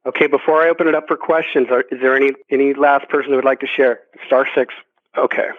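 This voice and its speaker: A man hosting a sales conference call, pitching earnestly and emphatically to members. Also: steady tone, quick pace. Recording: tinny phone conference line.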